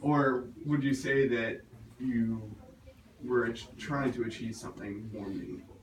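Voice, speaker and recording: A man's voice: mid-range voice, steady tone, a little slow. A man in the audience casually asking a question, searching for his phrasing as he goes. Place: bookstore event space. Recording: distant, echoey, noisy room.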